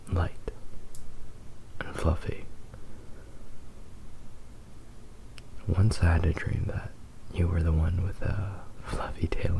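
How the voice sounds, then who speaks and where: A man's voice: sits low, creaky, mellow, poor audio. Man voicing a whispering, possessive fox-boy husband. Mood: tender, dreamy. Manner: slow, intimate, halting murmur. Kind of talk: whispered ASMR roleplay.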